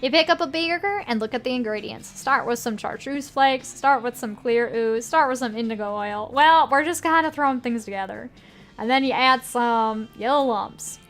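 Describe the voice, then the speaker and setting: A woman's voice: a little high, moderate pace, fairly bright tone, faint music behind. A woman with a put-on Southern US accent, casually commenting on a game and reading its text aloud. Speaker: game streamer.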